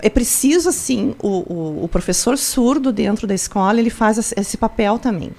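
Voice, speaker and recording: A woman's voice: fairly high pitch, normal speed, creaky, a little mellow. A woman from Brazil, a school principal, answering interview questions in an explanatory way, with frequent tag questions and restarts. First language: Portuguese.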